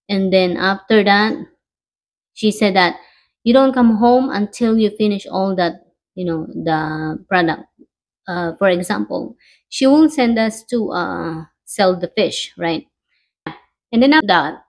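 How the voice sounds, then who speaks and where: A middle-aged woman from the Philippines with a Filipino accent, telling personal stories casually in halting, conversational English, often checking in with the listener. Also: medium pitch, a little mellow. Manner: halting, conversational, frequently seeking agreement with "you know". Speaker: vlogger. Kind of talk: casual personal storytelling to camera.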